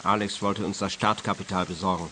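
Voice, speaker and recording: An actor playing an easygoing, naive young car mechanic in a radio play, straightforward in manner. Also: male voice, low voice, fairly even intonation, fairly quick, pushed and bright, slight background noise. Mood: earnest.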